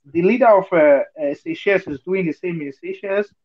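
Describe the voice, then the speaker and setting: A man's voice: high-pitched, a little fast, muffled recording. A man arguing earnestly in an online group discussion, with many fillers and checks like "you understand?". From Nigeria. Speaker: discussion participant. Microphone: video call.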